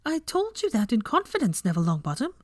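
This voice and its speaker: A woman reader acts out a young witch professor in an audiobook. She is self-assured and prim, cheerful yet firm, speaking in a raised, animated pitch with a dry sound. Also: fast, dry recording. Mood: indignant.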